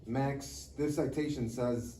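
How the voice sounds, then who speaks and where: A man plays an impatient court judge, curt and commanding. The tone is dark and mellow, the pitch ordinary. It sounds like a live script reading in a reverberant room. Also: steady tone, takes it slowly, natural voice. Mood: formal. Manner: authoritative, curt. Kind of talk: live screenplay table read. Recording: echoey room, distant mic, faint noise.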